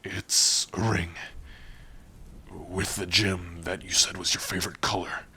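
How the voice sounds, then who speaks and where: Gentle giant orc played by a man, low-pitched and crisp-edged, hesitant and lovestruck, for intimate ASMR roleplay. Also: little pitch movement, a little hiss or hum, exaggerated voice. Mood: nervous, tender. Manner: hesitant, halting, soft-spoken, intimate. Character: big tender, bashful male orc in love. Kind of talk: ASMR romantic fantasy roleplay.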